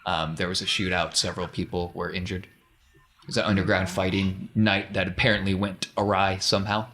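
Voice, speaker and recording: Low-voiced man running a game. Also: flat delivery, a little fast, fairly bright tone. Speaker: game master. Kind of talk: narrating a tabletop role-playing game.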